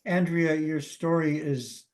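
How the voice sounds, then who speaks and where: A man on a video call asking a question, earnest and halting. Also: fairly high pitch, fairly even intonation, a little mellow. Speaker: call participant.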